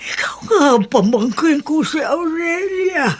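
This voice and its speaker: Slow, plaintive older Filipino aunt. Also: female voice, high-pitched, very animated, a little hiss or hum. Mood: tearful, moved. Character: older aunt, cured former leprosy patient, gentle and resigned. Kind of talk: Filipino radio drama acting.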